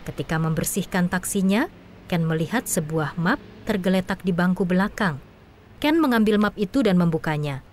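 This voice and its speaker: A woman's voice: on the low side, lively intonation, normal speed, darkish tone, slight background noise. Woman narrating a story steadily.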